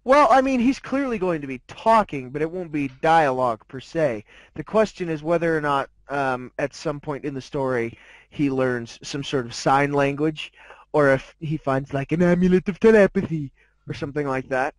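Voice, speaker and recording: Casual male co-host for a story-reading show, higher-than-average voice, very little room sound. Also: moderate pace, no room sound, rough recording. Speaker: host and reader of fan fiction. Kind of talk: casual chat between readings.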